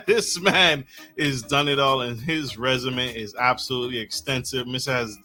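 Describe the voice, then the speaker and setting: A man's voice: medium pitch, sharp tone. A man hosting a podcast, effusive and enthusiastic, giving big introductions and stumbling over names. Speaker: podcast host. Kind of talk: podcast interview.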